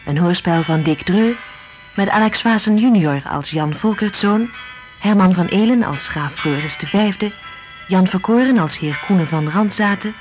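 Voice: mid-range voice, normal speed, soft background music. An announcer formally reading scripted closing credits for a radio drama. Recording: dull old radio recording.

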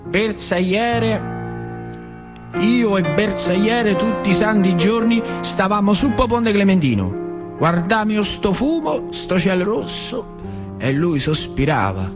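A man's voice: sits high, very animated, takes it slowly, music in the background, heavily acted. An actor playing an old working-class storyteller with a central Italian dialect from northern Lazio, speaking in an earthy theatrical monologue and prodding his listener with questions. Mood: wistful.

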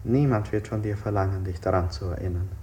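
An actor playing an interrogator who coaxes with falsely considerate pressure, deliberate and repetitive, in a 1960s German radio play. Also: male voice, low-pitched, steady tone, mellow, a little hiss or hum, lightly acted. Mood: soothing, coaxing.

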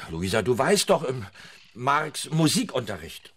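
A man's voice: mid-range voice, big pitch swings, measured pace, a bit bright, breathy edge, exaggerated voice. An actor playing an evasive husband in a radio drama, hesitant and placating as he fumbles for excuses. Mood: evasive, flustered. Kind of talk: German radio drama dialogue.

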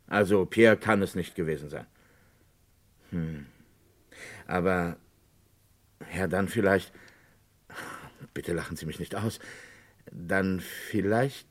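An actor playing a self-assured, discreetly insinuating village notary in a German radio crime drama, speaking formally and carefully. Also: male voice, low-pitched, exaggerated voice. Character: precise, discreetly insinuating male French village notary and lawyer.

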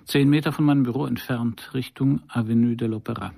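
A man's voice: medium pitch, steady tone, fairly quick, vocal fry, warm tone. An actor playing a middle-aged private detective in a noir radio play, narrating his case in a dry, laconic, sardonic way. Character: hard-boiled male private detective narrating his case, wry and probing.

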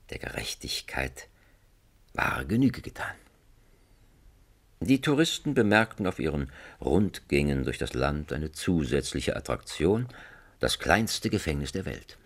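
A man narrating a satirical radio play as a wry storyteller with measured irony. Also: a bass voice, vocal fry, slightly rough, full character voice.

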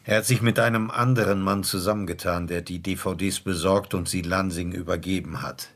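A man playing a seasoned, matter-of-fact police inspector in a radio crime drama, with a husky, gravelly voice. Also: deep voice, fairly even intonation, brisk, fairly bright tone. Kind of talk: naturalistic German radio crime drama dialogue.

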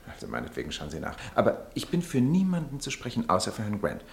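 A man playing a busy, well-mannered English gentleman in a German radio play, urbane and brisk, impatient but polite. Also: on the low side, wildly swooping pitch, gravelly, exaggerated voice. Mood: brisk, casual.

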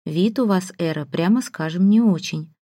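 A woman's voice: fairly low pitch, normal speed, warm tone, no room sound, clean studio sound, lightly acted. A woman voicing a polite, awkward male academy colleague in an audiobook, courteous and tentative. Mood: blunt concern.

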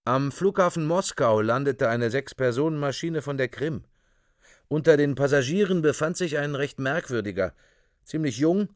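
Mid-pitched male narrator, dry studio sound. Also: no room sound. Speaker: narrator reading reports. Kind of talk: scripted radio play narration.

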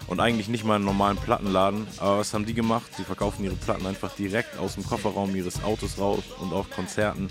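A man's voice: lowish voice, level tone, fast, hardly any pauses. A man co-hosting a casual, colloquial conversation about music history. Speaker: co-host.

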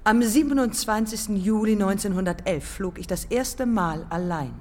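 An actress playing a proud, defiant dead pioneer aviator in a German radio drama, speaking in measured, matter-of-fact phrases. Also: female voice, medium pitch, darkish tone, big-room sound, a little hiss or hum, natural voice. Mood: calm recollection. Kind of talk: German public radio drama, lyrical dialogue.

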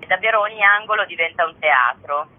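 A woman's voice: lowish voice, lively intonation, fairly quick, a little hiss or hum. Woman on a tinny phone line. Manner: hesitant, searching for words. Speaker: theatre festival co-director, interview guest. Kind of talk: phone interview.

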